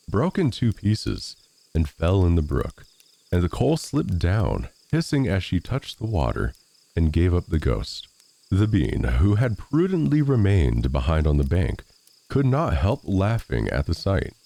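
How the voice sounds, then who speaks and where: A man reading a scripted fairy tale as an expressive storyteller. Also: deep voice, gravelly.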